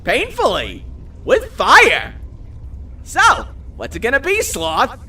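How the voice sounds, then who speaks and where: A man's voice: extremely high pitch, huge pitch swings, slow pace, sharp tone, breathy edge, recorded from a distance, pitched above the performer's own voice, exaggerated voice. A man voicing a cocky, foul-mouthed supernatural hunter in an audio drama, sarcastic and taunting. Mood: taunting, menacing. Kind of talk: dramatized audio fiction dialogue.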